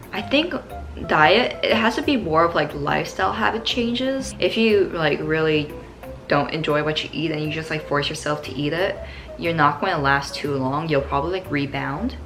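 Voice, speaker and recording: A young woman from Canada answering viewer questions in a casual, upbeat vlog, full of "like" fillers. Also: fairly low pitch. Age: young adult. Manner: upbeat, chatty, many "like" fillers.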